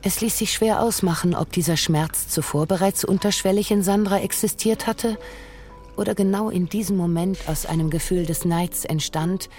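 A narrator in a radio drama, reading literary prose in a formal, measured, analytical way. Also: medium pitch, a little mellow, lightly acted. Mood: detached, analytical. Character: detached, analytical narrator of a relationship's decline. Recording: faint music behind.

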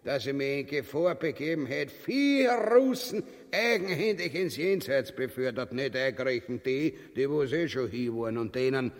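An actor playing a boastful, cowardly petty tyrant in a grotesque radio comedy, blustering in a southern German-Austrian dialect. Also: male voice, medium pitch, animated, normal speed, close to an everyday voice. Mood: boastful, pompous. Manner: pompous, blustering, mock-solemn. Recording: long reverberant hall sound.

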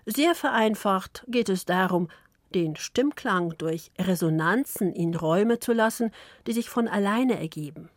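A woman from Poland, Polish native, narrating with a Polish accent in a soft, slow, intimate read. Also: medium pitch, lively intonation, dry recording, clean studio sound. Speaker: radio author and narrator. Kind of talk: scripted reflective narration.

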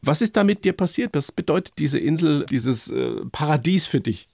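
A man's voice: on the high side, animated, moderate pace, gravelly, a little mellow, dry recording, clean studio sound. A man interviewing a guest in a casual, familiar, conversational way.

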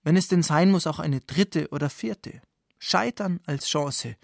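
A man's voice: on the high side, a little slow, vocal fry, no echo, clean studio sound. A man hosting a radio programme with wry, ironic scripted narration, often putting things as rhetorical questions. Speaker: radio show host.

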